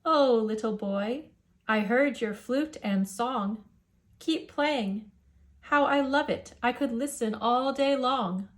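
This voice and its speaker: A woman's voice: on the high side, slow. A woman voicing a huge, jovial male giant who coaxes in rhyme for a children's storybook. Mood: friendly, delighted. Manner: coaxing, rhyming speech. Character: huge many-headed male giant, jovial, coaxing, music-loving.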